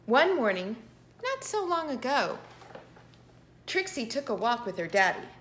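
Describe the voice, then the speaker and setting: A middle-aged woman reading a picture book aloud to children with expressive, dramatic emphasis. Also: fairly high pitch, unhurried, slight background noise. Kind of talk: animated children's storybook read-aloud. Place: indoor living room with piano.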